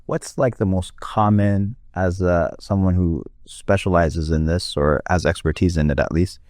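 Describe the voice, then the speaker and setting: Male interviewer, very low voice, casual questioning style, dry sound. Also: fairly even intonation, a little mellow, no room sound. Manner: asks questions, quick short acknowledgments. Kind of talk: conversational interview.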